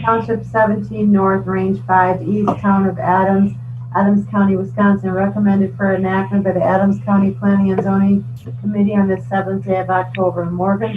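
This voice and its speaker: Flat-voiced woman clerk, distant and muffled. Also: mid-range voice. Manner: procedural, recites legal descriptions item by item. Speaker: county clerk. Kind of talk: formal reading aloud of ordinances. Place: government board meeting. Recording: muffled, distant mic with loud hum.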